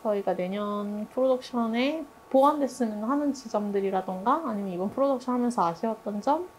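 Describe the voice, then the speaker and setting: A woman's voice: fairly high pitch, fairly quick, darkish tone, a little hiss or hum, rough recording. A woman moderating a team's casual group discussion in an informal, conversational way.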